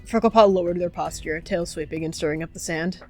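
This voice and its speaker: A woman's voice: lowish voice, fairly quick, soft background music. A woman narrating characters' actions in a descriptive roleplay game.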